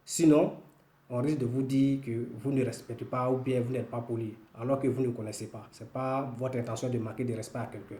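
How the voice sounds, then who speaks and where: Mellow Ivorian man teaching, echoey room. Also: medium pitch, fairly even intonation, fairly quick. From northwestern Ivory Coast. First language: Woloduka. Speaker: language teacher, video host. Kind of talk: informal language lesson to camera. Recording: roomy, echoing room sound.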